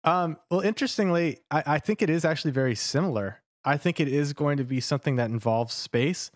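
A man's voice: on the high side, fairly quick, no echo, studio quality. A man musing tentatively as a podcast panelist, speculating in a casual, joking way.

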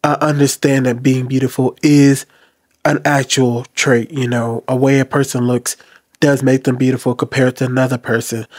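A man's voice: mid-range voice, little pitch movement, normal speed, no room sound, clean studio sound. A man in his thirties hosting a reflective commentary, talking earnestly to the camera from a small room. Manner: earnest, advice-giving. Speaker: host. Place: small decorated room indoors. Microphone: desk mic in front.

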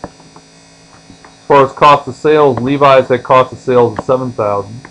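A man's voice: mid-range voice, unhurried, low-quality recording. A man teaching as an accounting instructor, explaining examples step by step in a tutorial style, starting phrases firmly and trailing off at the ends. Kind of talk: explanatory tutorial lecture, walking through on-screen examples. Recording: steady mains hum.

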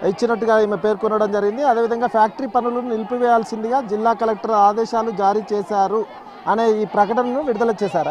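A male field reporter with a high-pitched voice delivers a live update outdoors on a road, holding a handheld mic. He talks steadily, filling pauses with hesitation sounds. A faint crowd murmurs behind him. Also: a little mellow. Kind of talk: live news report from a protest.

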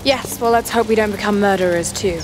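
A woman's voice: medium pitch, brisk, over loud music, close to an everyday voice, rough recording. A woman acting a young, earnest, determined explorer in a video game cutscene, easily alarmed. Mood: curious, serious. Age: young adult.

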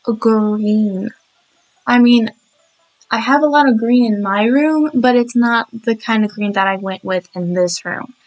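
Young woman narrating her build, a bit hoarse, chatty and giggly, higher voice. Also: measured pace, highs cut off. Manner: chatty, giggly, apologetic, many restarts. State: sore throat, voice cracking. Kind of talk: rambling game voiceover.

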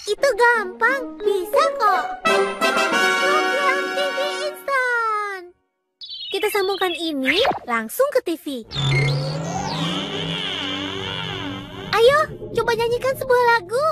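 A woman's voice: extremely high pitch, animated, takes it slowly, exaggerated voice. A woman voicing a small female cartoon robot cat, a helpful and sensible little sister explaining things. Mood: encouraging. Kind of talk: animated cartoon dub acting. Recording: music behind the voice.

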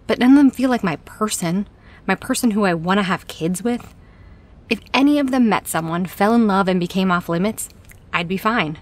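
A woman's voice: mid-range voice, moderate pace, lightly acted. A woman playing a frank, dry-humoured boss in an audio drama, speaking in a casual, candid way. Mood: earnest. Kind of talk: audio drama acting, conversational.

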